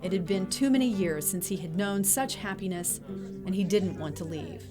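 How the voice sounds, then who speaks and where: A woman's voice: mid-range voice, moderate pace. A woman narrating an audio drama in a scripted storytelling style. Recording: music playing behind the voice.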